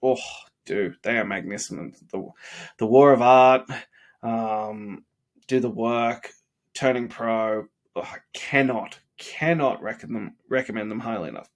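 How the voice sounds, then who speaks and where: A man's voice: medium pitch, a little slow. A congested, tired man in his thirties giving an earnest, rambling motivational talk late at night. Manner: earnest, rambling, many fillers. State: congested and sniffly. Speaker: vlogger.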